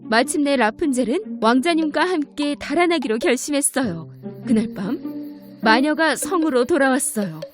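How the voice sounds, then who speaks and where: A woman's voice: high-pitched, animated, moderate pace. A woman narrating a scripted fairy tale for children as a storyteller. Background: music.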